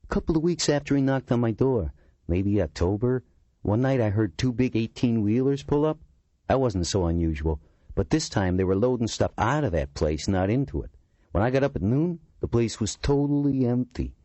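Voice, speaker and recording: A man's voice: medium pitch, animated, normal speed, mellow, no room sound, voice pushed up, lightly acted. A man voicing an eccentric, talkative middle-aged man who complains indignantly.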